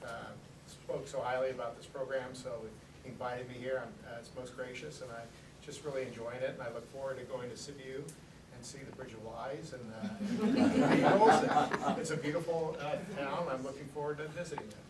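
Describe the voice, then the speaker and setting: A man introducing himself, halting with fillers. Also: mid-range voice. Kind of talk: self-introduction. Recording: echoey room from far off, noisy.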